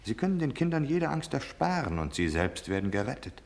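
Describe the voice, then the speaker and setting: An actor in a German radio drama playing a cold, domineering officer, curt, impatient and cynical in giving orders. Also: male voice, mid-range voice, sing-song, fairly quick, a little mellow. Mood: cold menace. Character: cold, domineering military officer delivering deadly orders, male.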